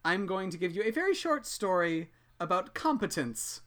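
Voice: mid-range voice, big pitch swings, normal speed, fairly bright tone. A podcast co-host in casual chat, telling stories and teasing their partner.

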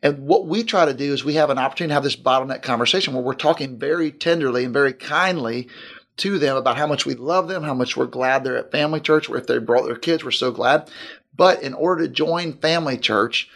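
A man's voice: medium pitch, fast, vocal fry, a bit bright. A male pastor talking in a casual podcast discussion, earnest and fond of long lists.

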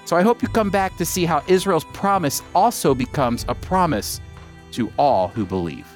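A man teaching from scripture in an earnest, emphatic way, slowing down to stress key phrases. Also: on the high side, animated, faint music behind. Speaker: Bible teacher.

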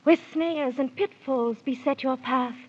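A woman's voice: sits high, measured pace, slightly rough. A woman playing a regal, benevolent fairy queen who speaks in stately rhyme in a 1930s children's radio drama. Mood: solemn warning. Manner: stately, incantatory rhymed delivery. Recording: dull 1930s radio recording.

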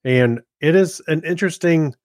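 A middle-aged man co-hosting a podcast, chatting casually in a low-key, hesitant, meandering way. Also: normal speed, a little mellow, no echo, clean studio sound. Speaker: podcast co-host. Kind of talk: casual chat, giving a review.

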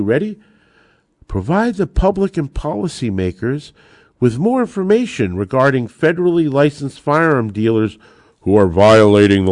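A man's voice: on the high side, no echo, clean studio sound. An American man hosting a talk podcast, giving emphatic, opinionated commentary with sarcasm and rhetorical questions that he answers himself. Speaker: podcast host and lawyer.

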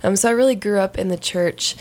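A woman in her twenties with a raspy voice, a singer telling her story as a guest in a relaxed interview. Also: mid-range voice, fast talker. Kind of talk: casual interview.

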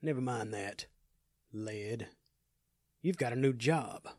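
A man's voice: mid-range voice, takes it slowly, a little mellow, pitched below the performer's own voice. A man voicing an obedient male henchman in an audio story, in a restrained, insistent manner. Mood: curt insistence. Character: smooth-suited male henchman, obedient, curt.

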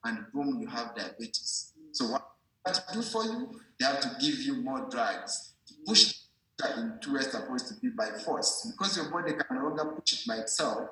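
A man's voice: medium pitch, animated, moderate pace, bright, forceful tone. A man giving an informal medical explanation in a didactic way, repeating himself and checking that the listener understands. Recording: very echoey room.

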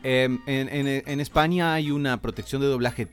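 A man who voices characters, talking casually in an animated way and trying to talk in Mexican Spanish. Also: medium pitch, normal speed, clear, resonant tone, soft background music. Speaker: voice actor. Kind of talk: casual podcast chat.

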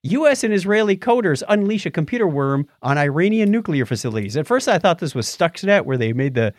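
A man's voice: a little high, big pitch swings, fairly quick, no room sound. A man hosting a podcast, casually bantering and reading out odd news stories with jokey asides. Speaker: podcast host.

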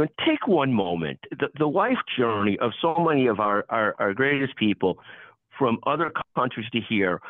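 Halting male interviewer on a lo-fi line. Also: medium pitch, dry recording. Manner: halting, repeats words as he goes. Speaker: podcast host interviewing guests. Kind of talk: conversational interview.

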